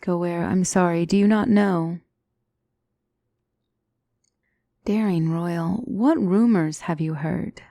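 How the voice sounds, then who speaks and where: A woman playing a gentle demonic mentor in an ASMR fantasy roleplay, speaking softly with formal, measured, reassuring diction and often near a whisper. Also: on the low side, dry recording. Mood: grave, matter-of-fact. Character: gentle demonic tutor, female mentor to a young royal.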